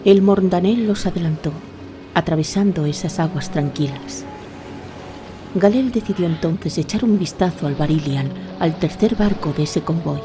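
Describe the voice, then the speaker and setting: A woman's voice: lowish voice, background noise. A woman giving scripted, dramatic narration of a fantasy tale. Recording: voice over a prominent music bed.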